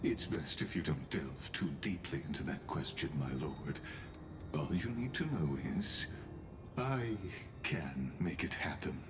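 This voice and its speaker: A deep, courtly, enigmatic scholar. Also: male voice, expressive, noisy background. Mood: guarded, persuasive. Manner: formal, deferential, measured, softly insinuating. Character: courteous, scholarly, enigmatic man, a calm neutral scholar-envoy. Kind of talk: scripted video game voice acting.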